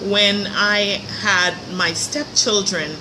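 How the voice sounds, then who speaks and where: A middle-aged woman, a little hoarse, hosting her show in a chatty, upbeat live-stream talk from a hotel room. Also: mid-range voice, steady tone, slow pace, background noise. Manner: chatty, upbeat, with light laughs. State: slightly hoarse. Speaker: host of a motivational show. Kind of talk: casual live-stream monologue. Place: hotel room near a pool.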